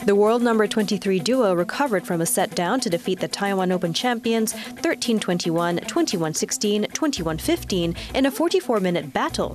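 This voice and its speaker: A woman with a Malaysian accent reading scripted news as a television presenter. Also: medium pitch. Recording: music bed behind voice.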